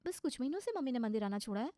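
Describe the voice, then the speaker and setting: A woman's voice: on the high side, expressive, racing, mellow, no echo, studio quality. A woman narrating a scripted cartoon story as its storyteller.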